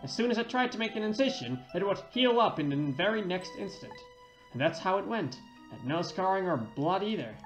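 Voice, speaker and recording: A man voicing an older junkyard superintendent, a former medical examiner, telling a tale gravely. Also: high-pitched, animated, brisk, soft background music. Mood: bewildered. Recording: dull lo-fi roomy mic.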